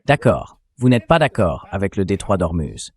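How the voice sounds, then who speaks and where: A man's voice: low-pitched, very animated, moderate pace, crowd noise. A man giving opinionated political commentary as an interview guest, emphatic and fond of rhetorical questions, in a synthetic translated dub.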